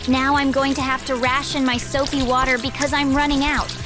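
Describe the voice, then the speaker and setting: A woman's voice: high voice, flat delivery, fairly quick, natural voice. A woman voicing a bossy, practical kid hero in a fan cartoon comic dub. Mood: businesslike. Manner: bossy, no-nonsense. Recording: loud music behind the voice.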